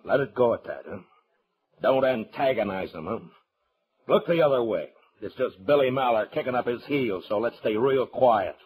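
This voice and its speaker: An American actor playing a steadfast, terse town marshal in a 1950s radio Western. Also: male voice, medium pitch, lively intonation, normal speed, a little mellow, lightly acted, low-quality recording. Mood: stern, sardonic. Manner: terse, firm, laconic. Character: steadfast, grim frontier town marshal, adult man. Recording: vintage 1950s radio recording.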